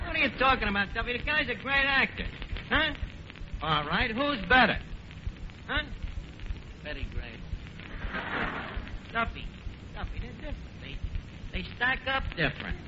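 An actor playing a brash, slangy New York tavern manager who bluffs with mangled grand words in a 1940s radio comedy. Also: male voice, very high-pitched, very animated, normal speed, pauses often. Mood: scheming, exasperated. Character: brash, fast-talking tavern manager full of big schemes. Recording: noisy, muffled 1940s radio broadcast.

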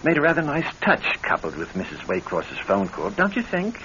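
A suave, greedy husband with menace under the mockery. His delivery swings dramatically in pitch, with a gravelly edge. It is classic radio drama, heard through a dull, faintly hissy old recording. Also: huge pitch swings, moderate pace, sharp tone, exaggerated voice. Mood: smooth, cold. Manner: suave, sardonic, mocking. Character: scheming greedy husband turning menacing and violent. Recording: muffled old radio broadcast with faint hiss.

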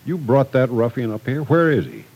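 An actor playing a stern, unforgiving middle-aged businessman and father in an old radio drama, gruff, sarcastic and emphatic. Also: medium pitch, wildly swooping pitch, moderate pace, darkish tone, exaggerated voice. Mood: stubborn, outraged.

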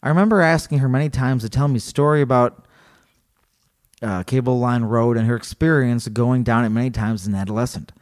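A man co-hosting a podcast, chatting casually and reading a story aloud, animated and joking. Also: medium pitch, a little fast. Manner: animated, joking, laughs often. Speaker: podcast co-host.

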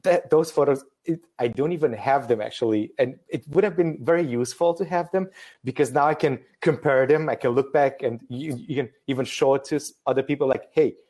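A man's voice: medium pitch, fairly quick, darkish tone. A man in his thirties from Romania, a photographer chatting casually as a guest, hesitant and self-deprecating with jokes. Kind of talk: casual live-stream conversation.

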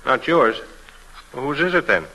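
Bright-voiced, genial police inspector. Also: male voice, mid-range voice, animated, breathy edge, full character voice, low-quality recording. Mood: casually probing. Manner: affable, unhurried, casual probing. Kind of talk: old-time radio thriller acting.

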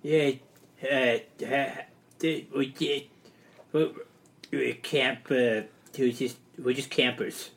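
A man's voice: mid-range voice, steady tone, takes it slowly, vocal fry. Stammering man in a roleplay game. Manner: hesitant, stammering. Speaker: player. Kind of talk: improvised comedy roleplay.

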